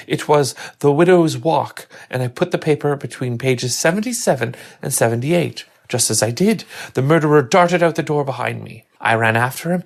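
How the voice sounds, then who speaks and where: A man's voice: fairly high pitch, creaky. A man voicing a nervous male servant telling his story to an inspector.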